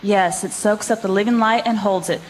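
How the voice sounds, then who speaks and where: A woman as an excited vintage radio announcer, enthusiastically urging children to buy. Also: medium pitch, moderate pace, in a large hall, a little hiss or hum, lightly acted. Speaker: commercial announcer.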